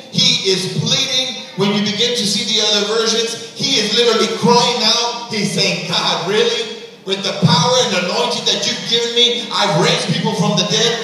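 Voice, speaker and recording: A male pastor preaching a sermon on a large auditorium stage, emphatic, swinging between hushed and loud, and speaking straight to the church. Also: sits high, a little hiss or hum. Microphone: room sound from a far camera. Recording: echoey hall, heard from far off.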